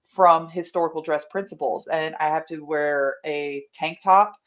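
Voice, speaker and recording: A woman with a fairly deep voice, chatting casually as a guest about working event security. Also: steady tone, normal speed. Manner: wry, chatty storyteller. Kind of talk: casual chat. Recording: dull, lo-fi audio.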